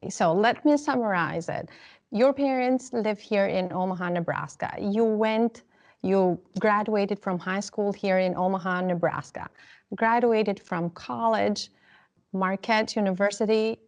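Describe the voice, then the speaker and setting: A woman's voice: medium pitch, unhurried. A woman interviewing a guest, asking friendly, conversational questions.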